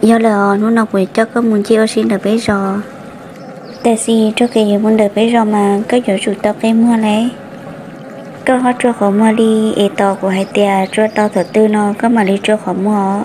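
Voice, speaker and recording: A woman's voice: medium pitch, level tone, normal speed, mellow, slight background noise, close to an everyday voice. A woman voicing an adult woman in a folk-tale cartoon, in plain conversational speech. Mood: talking. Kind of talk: cartoon voice acting. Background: music.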